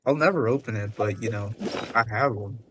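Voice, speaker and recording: A man's voice: fairly low pitch, brisk, fairly bright tone, a little hiss or hum, rough recording. A man giving casual commentary on a game he plays, amused and thinking aloud. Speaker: gaming video host.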